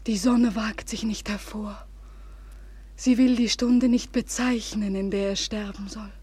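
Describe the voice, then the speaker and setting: Slow, despairing young woman in old radio drama. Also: mid-range voice, steady tone, mellow, dry recording. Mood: anguished, visionary. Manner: slow, breathy, solemn declamation. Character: despairing young woman bidding farewell before suicide. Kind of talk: 1940s German radio drama acting, theatrical. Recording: noisy old 1940s radio recording.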